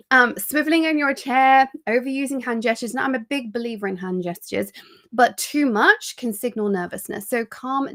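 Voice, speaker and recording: A woman, an executive coach, giving an energetic, chatty live online training with quick asides and frequent ums, speaking into a computer mic on a video call. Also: a little high, creaky.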